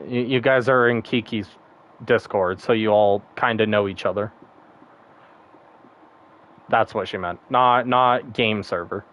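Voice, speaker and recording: A man's voice: lowish voice, steady tone, measured pace, pauses often, dull audio. A man streaming a game, chatting casually and rambling, laughing often and swearing now and then. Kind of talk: casual gaming stream chat.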